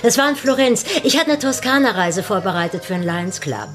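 A woman's voice: mid-range voice, animated, a little fast, over music, natural voice. An actress playing a snobbish, crass, well-off woman who speaks in an affected, catty way in a German radio drama. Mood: showing off.

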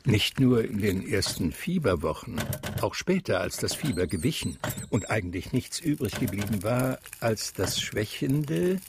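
A man gives a deliberate, expressive literary narration for a radio drama. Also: on the low side, background noise.

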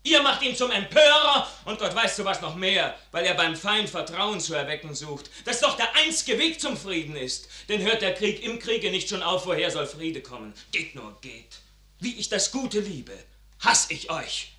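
An ardent young soldier, piercingly bright-voiced. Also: male voice, high voice, sing-song, normal speed, exaggerated voice. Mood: furious, defiant. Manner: declamatory, impassioned, swelling. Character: ardent idealistic young soldier, son at odds with his father. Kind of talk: classical German stage verse radio acting.

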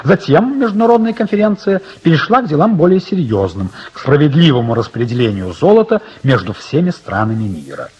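A man's voice: a little high, big pitch swings, brisk, few pauses, a little mellow, dull audio, slight background noise. A man giving scripted, dramatic literary narration for a radio play.

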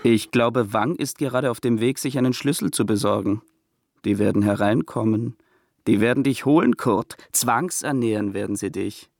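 Gravelly, ominous, needling companion. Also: male voice, mid-range voice, moderate pace, a little mellow, no room sound, clean studio sound. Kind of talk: radio play acting.

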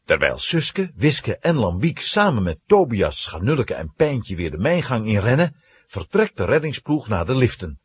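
A man narrating a children's radio play with dramatic, suspenseful, animated storytelling. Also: medium pitch, normal speed, slightly rough.

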